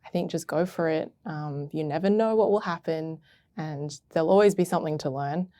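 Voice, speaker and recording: A woman intern answering interview questions in a casual, hesitant and thoughtful way, with many pauses and fillers. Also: sits low, mellow, no echo, clean studio sound. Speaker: arts intern.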